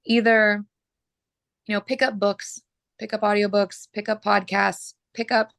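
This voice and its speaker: A woman from Oklahoma, a butcher, talking frankly as a podcast guest while losing her voice and clearing her throat. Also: mid-range voice, little pitch movement, measured pace, fairly bright tone, no room sound. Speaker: butcher and mobile processor owner.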